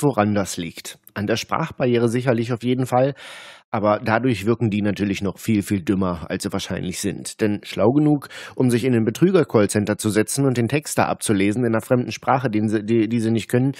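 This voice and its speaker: A man's voice: fairly low pitch, darkish tone, no echo, studio quality. A man speaking straight to viewers, emphatic and indignant as he warns about phone scams. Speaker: channel host. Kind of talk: commentary to viewers.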